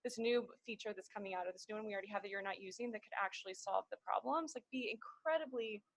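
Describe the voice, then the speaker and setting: A woman's voice: mid-range voice, quick pace, gravelly, no room sound. A woman speaking as a marketing panelist, giving advice in a casual professional discussion.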